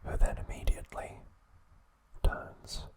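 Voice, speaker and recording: A man hosting ASMR, giving a recap in a breathy, unvoiced whisper with gentle, measured pauses. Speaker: ASMR host.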